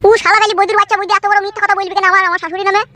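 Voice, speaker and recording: A man's voice: extremely high pitch, level tone, racing, sharp tone, no echo, full character voice. A man voicing a simple, sheepish but stubborn village son-in-law in a cartoon, bumbling and protesting. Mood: stubborn anger. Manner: hesitant, bumbling, whiny protesting. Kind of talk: Bengali cartoon acting.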